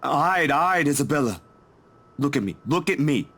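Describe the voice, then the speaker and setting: A man acts out a protective, concerned male friend in a visual novel. His mid-to-natural voice moves widely in pitch, earnest and soothing. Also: animated, normal speed, fairly bright tone. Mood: steadying, soothing.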